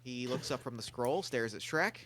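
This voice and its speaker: A man's voice: medium pitch, expressive, moderate pace, a bit bright. A man reading stage directions aloud at a table read in a plain, matter-of-fact way.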